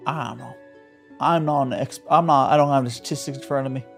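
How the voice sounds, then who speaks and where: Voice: medium pitch, fast talker, gravelly. A streamer joking around and rambling during live gameplay commentary. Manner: joking, playful, rambling asides. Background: faint game music.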